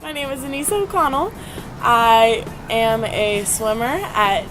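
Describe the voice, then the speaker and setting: A woman's voice: fairly high pitch, unhurried, low-quality recording. Young American woman over prominent music. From Phoenix, Arizona, USA. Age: young adult. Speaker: student athlete, college swimmer. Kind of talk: interview answers in a profile feature. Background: music bed.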